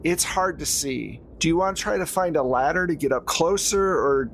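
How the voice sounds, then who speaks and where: A man's voice: a little high, expressive, normal speed. A man narrating a horror tabletop roleplaying game as game master, in a conversational way with casual asides. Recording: clear background noise.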